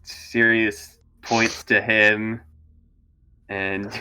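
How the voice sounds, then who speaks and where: A man's voice: fairly low pitch, level tone, drawn-out words, sharp tone, slight background noise. A man playing a tabletop roleplaying game, casually narrating his character's actions in the third person.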